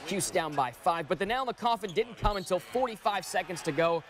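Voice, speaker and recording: A man's voice: high voice, expressive, a little fast, a bit bright. A man narrating sports stories as a broadcast reporter, with punchy, dramatic phrasing.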